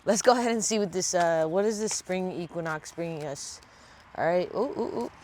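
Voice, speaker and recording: Casual tarot vlogger, congested, mid pitch, laid-back, swears freely, faint hum of noise behind. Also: brisk, darkish tone. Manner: casual, blunt and profane. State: congested from a sinus infection. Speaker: tarot reader. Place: at home.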